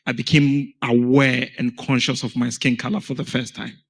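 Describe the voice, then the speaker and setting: A man's voice: mid-range voice, little pitch movement, moderate pace. A man from Ghana speaking as a panel guest, telling his story in an earnest, emphatic way. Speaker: panel guest, founder of an education organization. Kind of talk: panel conversation.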